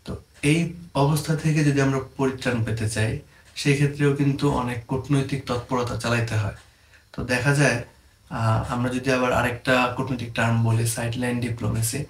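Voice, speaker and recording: A man's voice: mid-range voice, little pitch movement, a little fast. A man with a Bangladeshi accent gives an informal explainer lesson, mixing English terms into his explanations. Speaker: presenter explaining diplomatic terms. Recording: echoey room, faint background noise.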